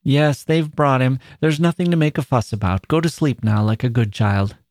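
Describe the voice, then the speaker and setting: A man voicing a tense young mother who speaks sharply with a forced gentleness. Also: mid-range voice, moderate pace, no echo, studio quality, voice pushed up, lightly acted. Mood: soothing.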